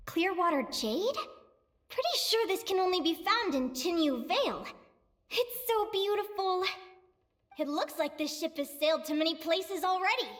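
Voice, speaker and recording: A woman's voice: very high voice, a bit bright, heavily acted. A woman voicing an eager, dreamy young female adventurer in a video game, bubbly and wide-eyed as she talks to herself. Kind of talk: video game voice acting, monologue.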